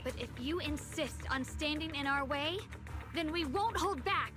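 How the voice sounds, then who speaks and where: An actress playing an earnest, resolute young heroine in a fantasy video game. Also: female voice, high voice, moderate pace, natural voice. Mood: alarmed, determined. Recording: game music behind the voice. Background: game music.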